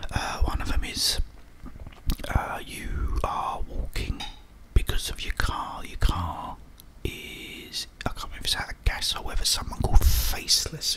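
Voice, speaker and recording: An ASMR creator chats in a soft whisper, friendly and rambling, full of fillers. The pitch dips and rises widely even in the whisper. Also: poor audio. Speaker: ASMR YouTuber.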